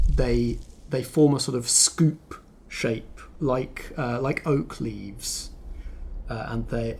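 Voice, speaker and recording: A man acting as game master, narrating a tabletop roleplaying game descriptively, with deliberate pauses between phrases. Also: medium pitch, a little mellow, a little hiss or hum.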